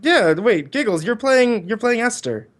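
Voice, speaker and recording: Man, livestream host, casual, halting delivery, repeats phrases. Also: extremely high pitch, very animated, a bit bright. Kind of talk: casual group chat.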